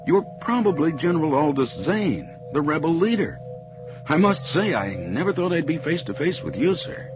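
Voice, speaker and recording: An actor playing an earnest, respectful young colonel in a science fiction radio drama. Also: male voice, a little high, very animated, normal speed. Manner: sincere, polite. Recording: muffled, loud music behind.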